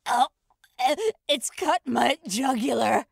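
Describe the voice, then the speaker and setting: A woman's voice: fairly high pitch, takes it slowly, vocal fry, husky, dry recording, clean studio sound, heavily acted. A woman playing a melodramatic, profane, panicked and indignant woman in an audio fiction drama. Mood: strained agony. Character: Gloria, foul-mouthed, panicked, screaming woman under demonic attack.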